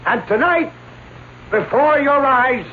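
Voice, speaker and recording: A thin old radio recording with faint noise carries a showy escape artist. He speaks in a very high, declamatory voice, florid and proud, playing to his audience. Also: expressive, slow, phone-quality sound, full character voice. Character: theatrical male escape artist, proud, florid stage showman. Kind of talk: radio drama acting.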